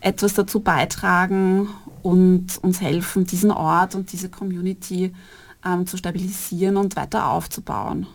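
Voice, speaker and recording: A woman's voice: on the low side, fairly even intonation, normal speed, creaky. A middle-aged woman, a freelance artist, answering interview questions in a fluent, composed way. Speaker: freelance artist and theater co-founder.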